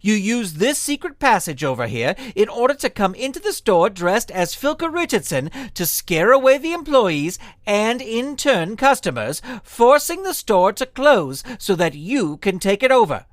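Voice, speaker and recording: A man's voice: high voice, very animated, normal speed, hardly any pauses, no echo. An earnest amateur sleuth in an audio mystery. He declaims with polite precision and theatrical confidence, his melody swinging widely. The sound is close and dry. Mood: confident deducing. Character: earnest, theatrical male magician-sleuth confidently unmasking culprits. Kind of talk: audio drama acting.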